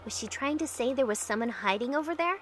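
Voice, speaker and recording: Woman voicing an anxious, high-voiced young heroine. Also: lively intonation, fast, fairly bright tone. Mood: tense, heartfelt. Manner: earnest, dramatic storytelling. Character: young woman, emotional, anxious plane crash survivor. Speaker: narrator and lead character in an amateur fan dub. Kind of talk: scripted first-person dramatic narration. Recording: music playing behind the voice.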